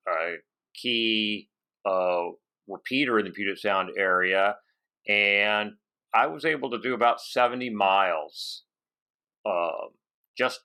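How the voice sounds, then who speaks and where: A man with a fairly low-pitched voice and a bright, forceful edge. He speaks as a casual interview host, conversational and given to anecdote, working through his thoughts aloud. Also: clear, resonant tone. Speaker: podcast host interviewing a radio operator.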